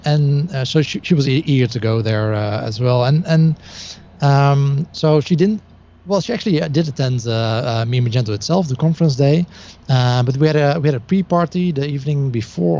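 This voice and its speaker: A man's voice: medium pitch, normal speed, dark tone, dull audio. A Dutch man whose first language is Dutch, talking as a guest in a casual podcast chat, hesitant with many restarts and fillers. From Netherlands.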